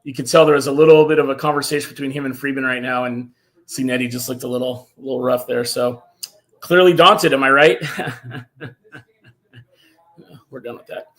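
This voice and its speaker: A man hosting a casual fan live stream, excited and a little hoarse from cheering, reading out viewer comments. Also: medium pitch, normal speed, long pauses. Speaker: fan show host.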